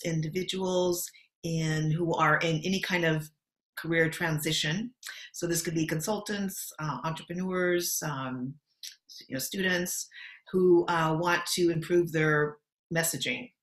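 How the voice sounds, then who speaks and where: A middle-aged American woman from Los Angeles, answering interview questions in an articulate, measured way. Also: fairly low pitch, steady tone. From Los Angeles, USA. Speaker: guest. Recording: roomy echo.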